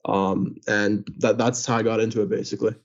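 A man's voice: lowish voice, flat delivery, brisk, highs cut off. A young man in his twenties, born in Greece, answering interview questions in a chatty way with many filler words. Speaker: guest, hockey player and sports news account runner.

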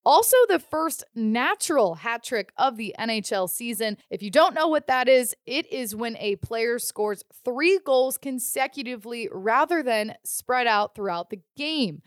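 High-voiced woman hosting sports news. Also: expressive, measured pace, hardly any pauses, dry recording, clean studio sound. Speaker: podcast host. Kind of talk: scripted sports news narration.

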